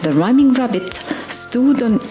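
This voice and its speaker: A woman reading a children's picture book aloud in an expressive, deliberate way. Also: a little high. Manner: expressive, deliberate, singsong on rhymes. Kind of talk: storybook read-aloud for children. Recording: roomy echo with faint music behind.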